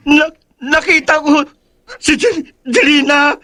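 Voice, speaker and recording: A woman's voice: sits high, steady tone, slow, bright, forceful tone, heavily acted. A woman playing a terrified, stammering child pleading with a parent in a horror audio drama. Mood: terrified, tearful.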